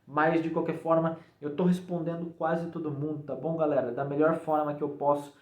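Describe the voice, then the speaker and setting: A man's voice: fairly high pitch, little pitch movement, a little fast, a little mellow. A man with a Brazilian accent giving a casual, informal explanation to viewers, often checking in with short tags. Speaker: programming tutorial YouTuber. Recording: echoey untreated room.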